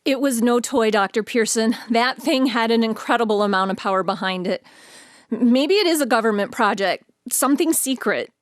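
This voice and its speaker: A woman's voice: on the high side, normal speed, no room sound, studio quality, close to an everyday voice. A woman playing a shaken, skeptical eyewitness in an audio drama, blunt and sardonic. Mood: insistent. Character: shaken, skeptical woman in cat costume, alien witness.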